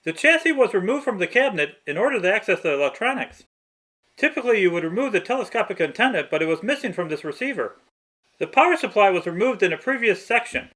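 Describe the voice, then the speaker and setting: A man's voice: high voice, very animated, fast talker, pushed and bright. A man reading a scripted, step-by-step technical tutorial narration.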